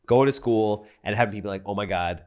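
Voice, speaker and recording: A man's voice: lowish voice, brisk, a little mellow. A man in his forties hosting a casual podcast chat, blunt and profane, teasing his guests. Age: 40s. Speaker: podcast host.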